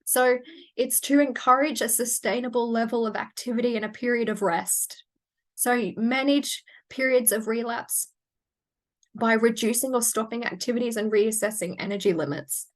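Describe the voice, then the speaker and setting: A woman, presenting medical research in a measured, technical way, with frequent fillers and restarts. She is losing her voice and sounds hoarse. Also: fairly high pitch. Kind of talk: academic webinar presentation.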